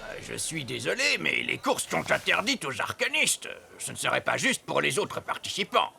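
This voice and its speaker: A man voicing a gruff race organizer in a French children's cartoon, with a gravelly voice. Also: a little high, very animated, moderate pace, full character voice. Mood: apologetic. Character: gruff male race organizer guarding a prize motorbike. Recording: loud music under the voice.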